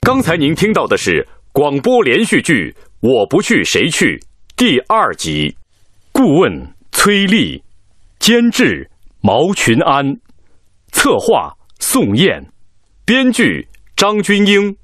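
Slow, highly animated male radio announcer. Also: no echo, studio quality. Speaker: announcer reading credits. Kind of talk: formal scripted radio announcement.

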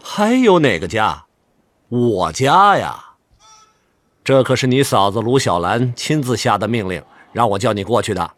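An actor playing a brotherly but stern army officer in a Chinese radio drama, with emphatic, expressive delivery. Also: male voice, medium pitch, measured pace. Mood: jovial, commanding. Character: hearty older army officer, brotherly yet stern male friend.

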